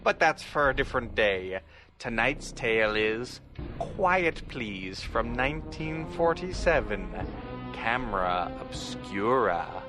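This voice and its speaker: A man playing a mysterious horror show host, theatrical and ominous, with drawn-out emphasis in a scripted introduction. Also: mid-range voice, very animated. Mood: ominous, welcoming. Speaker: host of an old time radio show. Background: music behind the voice.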